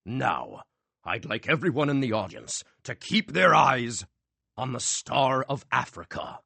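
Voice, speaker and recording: A man voicing a showy male stage magician with a grand, drawn-out showman delivery. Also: mid-range voice, sing-song, gravelly, sharp tone, slightly rough, dry recording, voice pushed up, full character voice.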